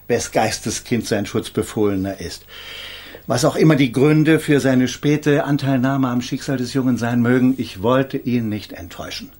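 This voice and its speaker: A man acts out an eminent, middle-aged defence lawyer in a radio crime play. The voice is husky and mid-pitched. He speaks formally, with smooth, condescending politeness. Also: a little mellow, close to an everyday voice. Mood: measured, probing. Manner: formal, measured, patronising courtesy. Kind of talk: German radio crime drama acting.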